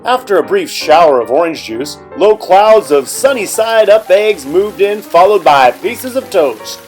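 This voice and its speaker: Animated, higher-pitched man reading a storybook. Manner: animated, dramatic emphasis for children. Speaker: teacher reading a picture book aloud. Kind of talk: expressive storybook read-aloud narration.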